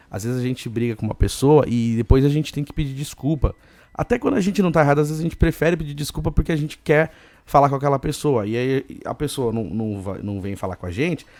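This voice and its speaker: A man with a Brazilian accent hosting a casual solo podcast, hoarse and chatty, giving listeners shout-outs. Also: medium pitch, no echo. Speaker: podcast host.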